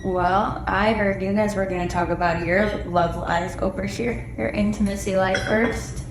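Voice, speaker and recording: A woman's voice: mid-range voice, steady tone, normal speed. A woman in her twenties, a social media coordinator on a podcast, cracking blunt, cheeky jokes. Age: 20s. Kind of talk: casual podcast chat. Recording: echoey room, background noise.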